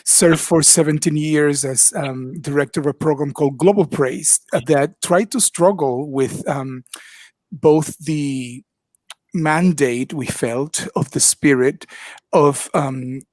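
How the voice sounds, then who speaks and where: An older man speaks slowly and reflectively, often pausing with "um". He talks into a headset on a video call from his kitchen. The sound is dry and close, with no room echo. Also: a little high, darkish tone. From Dominican Republic. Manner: thoughtful, frequent ums, measured. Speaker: panelist, minister of worship arts. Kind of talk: reflective panel talk. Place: home kitchen.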